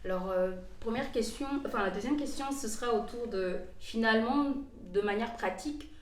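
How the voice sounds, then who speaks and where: A woman from Gabon speaks at middle pitch, often rephrasing herself as she frames questions. Her voice carries a roomy echo and sounds set back from the microphone. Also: normal speed, slight background noise. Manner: searching, self-correcting. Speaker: discussion moderator. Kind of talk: casual group discussion. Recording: echoey room, distant mic.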